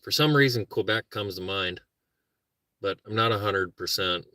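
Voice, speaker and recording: A middle-aged man with a Minnesota accent rambles to his viewers from inside his car. He chats loosely and pokes fun at himself. His voice is bright and forward, and the recording is close and dry with no room around it. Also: fairly low pitch, moderate pace, no echo. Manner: rambling, self-deprecating, talks to viewers and his dog. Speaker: car-camping vlogger. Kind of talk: casual live chat. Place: parked car while car camping.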